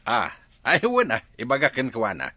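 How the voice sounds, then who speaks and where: A man's voice: mid-range voice, huge pitch swings, moderate pace, bright, forceful tone, dry recording. A man with an Ilocano accent playing a bickering husband in a comedy drama. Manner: bickering, exclaiming.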